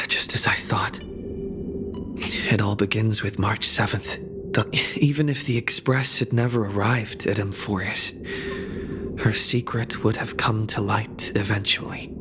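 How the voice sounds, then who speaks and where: A brooding man in a game, played by an actor, speaks in a low, hushed voice. The words come slowly and gravely, with music clearly behind. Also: fairly even intonation, lightly acted. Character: brooding, solemn, reserved man. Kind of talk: video game voice acting.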